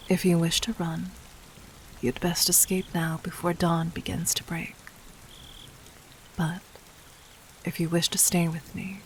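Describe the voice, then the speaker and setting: A woman playing a gentle but commanding, seductive demon queen in an intimate audio roleplay, speaking softly and formally in a breathy near-whisper. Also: on the low side, slight background noise.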